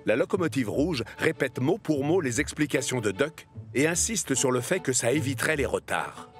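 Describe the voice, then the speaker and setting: A man narrating a scripted story for children in an animated series. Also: medium pitch, moderate pace. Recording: music bed under the voice.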